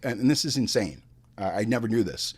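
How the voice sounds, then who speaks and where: A man's voice: fairly low pitch, animated, brisk, husky. A man hosting a movie podcast, delivering crude, profane comedic film commentary and theatrically acting out imagined dialogue. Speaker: host of a movie review podcast. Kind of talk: comedic film commentary mixing read facts with ranting asides.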